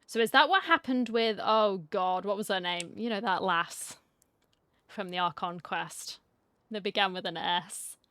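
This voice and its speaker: A woman's voice: mid-range voice, measured pace, a bit bright, clean tone. Woman streamer, casual game commentary. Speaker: gaming streamer. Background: game music.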